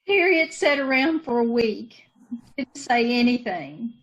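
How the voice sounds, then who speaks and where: A woman's voice: on the high side, lively intonation, unhurried, soft background music, low-quality recording. A woman acting a grieving, bitter sister in an old-time radio drama, with plain folksy speech and repeated phrases. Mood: numb grief. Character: grieving, bitter sister of a committed young mother.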